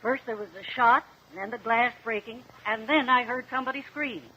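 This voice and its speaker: A woman's voice: a little high, steady tone, moderate pace, pushed and bright. An actress playing an earnest, emphatic female witness in a vintage radio courtroom drama. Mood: insistent. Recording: noisy old radio broadcast.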